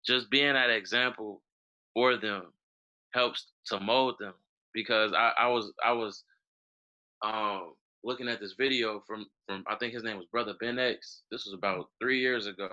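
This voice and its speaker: A man's voice: on the low side, bright, forceful tone, highs cut off. A young man from Chicago, an educator speaking earnestly as a podcast guest and often adding "you know". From Chicago, United States. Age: young adult. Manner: earnest, repeats words while thinking, frequent "you know". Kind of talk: conversational interview answer.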